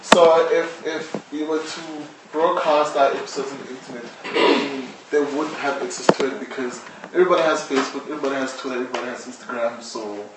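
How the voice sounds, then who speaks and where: A man's voice: medium pitch, a little fast, hardly any pauses. A man with a South African accent explaining things as a guest in a live interview. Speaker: interviewee, audio drama maker. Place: echoey indoor space, live-streamed discussion. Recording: very echoey room, distant mic, faint noise.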